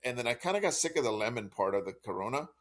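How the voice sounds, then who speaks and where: A man's voice: mid-range voice, sing-song, fast talker. A man co-hosting a podcast, talking in an easygoing, casual way. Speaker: podcast co-host. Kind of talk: casual chat.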